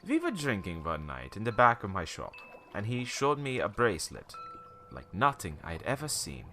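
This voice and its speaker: A man's voice: on the low side, huge pitch swings, moderate pace, sharp tone, a little hiss or hum, exaggerated voice. An actor playing a wary, cynical old townsman who tells cryptic stories in a radio play, in a theatrical, rambling way. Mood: reminiscing. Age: older. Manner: cryptic, theatrical, rambling storytelling with knowing chuckles. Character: wary, cynical old male townsman, former shopkeeper, storyteller.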